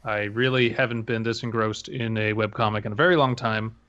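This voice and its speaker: A man's voice: lowish voice, steady tone, fairly quick, a bit bright, highs cut off. A man hosting a podcast, chatting casually and with enthusiasm. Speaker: podcast host. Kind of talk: casual recommendation chat.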